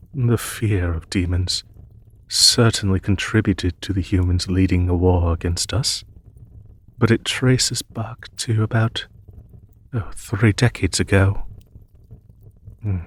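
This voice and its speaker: A man playing a courteous, war-weary demon emperor in an intimate audio roleplay, speaking formally and gently with a husky voice. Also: deep voice, heavily acted. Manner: formal, measured, gently spoken.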